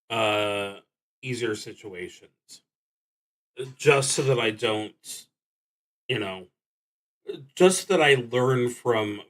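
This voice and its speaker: A man livestreaming a solo roleplaying game, thinking aloud in an unscripted, deliberative way with frequent pauses and restarts. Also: on the low side, fairly bright tone, poor audio. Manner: halting, deliberative, often trailing off and restarting.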